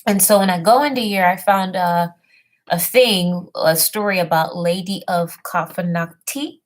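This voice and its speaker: A woman talking casually about history research and then reading an old text aloud haltingly, often stumbling over hard words and names. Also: on the low side. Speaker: self-made history presenter.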